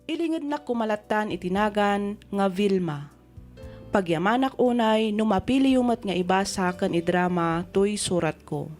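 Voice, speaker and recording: A woman's voice: medium pitch, normal speed, darkish tone. A woman with an Ilocano accent giving dramatic scripted narration, reading a letter for a radio drama. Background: music.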